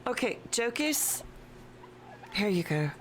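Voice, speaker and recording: Slow-talking woman streamer chatting to viewers. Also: fairly high pitch, very animated, background noise. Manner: slow, chatty, talks to viewers and her pet. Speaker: game streamer. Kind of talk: casual live-stream chat while playing. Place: home desk with a cat nearby.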